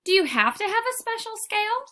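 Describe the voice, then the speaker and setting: A woman's voice: extremely high pitch, very animated, brisk, bright, forceful tone, voice pushed up, heavily acted. A woman voicing a shy, hopeful little striped boy fish in a read-aloud storybook. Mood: hopeful, timid.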